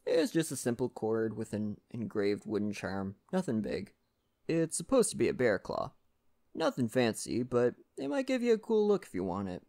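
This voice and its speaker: A man acts out a friendly lumberjack in an intimate ASMR roleplay. His voice is soft and unhurried, with gravelly creak at the edges. The pitch moves in an animated way as he gently reassures the listener in plain, folksy fashion. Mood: warm, modest. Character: friendly Canadian lumberjack, gentle nature-loving father.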